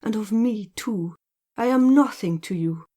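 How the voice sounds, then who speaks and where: Storybook female lead, woman reader acting: young, proud, contemptuous country woman, terse emphatic lines, soft mellow timbre. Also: fairly low pitch, moderate pace, husky. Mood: vexed. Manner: curt, emphatic, short plain sentences. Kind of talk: audiobook character dialogue.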